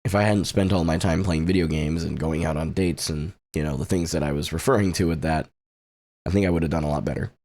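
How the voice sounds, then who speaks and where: A man's voice: rumbling low, steady tone, fast, a little mellow. A man in his late twenties sharing a personal faith testimony in an earnest, reflective way, with flashes of self-deprecating humor. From United States.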